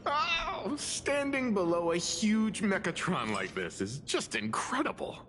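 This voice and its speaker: An actor playing a composed, polite mature man with a secretly geeky streak in a video game, speaking in a measured, formal way. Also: sits high, very animated, pushed and bright, slight background noise, full character voice. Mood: awed. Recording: music behind the voice.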